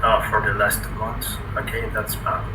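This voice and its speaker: Male video-call chatter, lowish register, casual, echoey and far from the microphone. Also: completely flat delivery, moderate pace. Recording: echoey, distant, noisy video-call audio.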